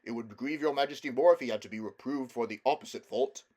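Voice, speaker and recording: A man's voice: mid-range voice, fast talker, darkish tone, natural voice. A man voicing a respectful courtly lord who speaks politely and with measure. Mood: soothing. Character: courtly respectful male lord advising a king.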